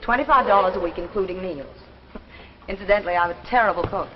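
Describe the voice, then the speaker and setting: A woman's voice: mid-range voice, brisk, natural voice. An actress playing a friendly, teasing young American landlady in a 1950s TV drama, chatty and wry. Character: friendly, teasing young woman running a small-town boarding house. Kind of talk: 1950s television drama acting. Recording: muffled 1950s broadcast with faint noise.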